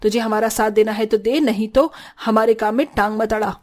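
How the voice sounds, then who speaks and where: A woman playing a bossy, sharp-tongued village mother-in-law in a cartoon story, assertive and scolding. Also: a little high, fairly even intonation, brisk, natural voice. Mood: scolding, firm. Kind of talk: Hindi cartoon story dialogue.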